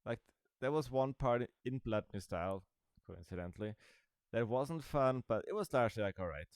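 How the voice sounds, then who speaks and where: A man's voice: medium pitch, animated, normal speed, dry recording. A man giving casual, rambling commentary over a game he is playing, with dry, sarcastic asides. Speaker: gaming video creator.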